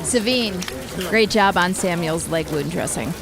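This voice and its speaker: A woman's voice: fairly low pitch, lively intonation, a little slow, creaky, crowd noise. A woman playing a tough, guarded commander of a survivor militia in a radio drama, speaking tersely and with command. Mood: brisk, commanding. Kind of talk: post-apocalyptic radio drama acting.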